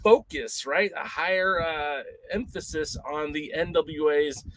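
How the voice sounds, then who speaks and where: A man's voice: on the high side, unhurried, fairly bright tone. Rambling man hosting a casual chat show. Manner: rambling and conversational, lots of fillers. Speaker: podcast host.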